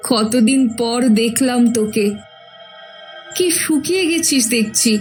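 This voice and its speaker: A woman's voice: fairly high pitch, slow pace, soft background music. A woman voicing an affectionate, fussing mother of a grown daughter in a horror cartoon. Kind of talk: animated horror cartoon dialogue.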